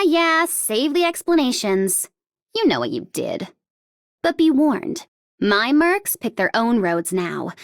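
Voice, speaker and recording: A woman's voice: fairly high pitch, no echo, clean studio sound. A woman voicing a cocky, vengeful, mischievous mercenary captain in a video game, with a taunting, sardonic and theatrical delivery. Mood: sardonic, bitter. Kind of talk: English video game character voice lines.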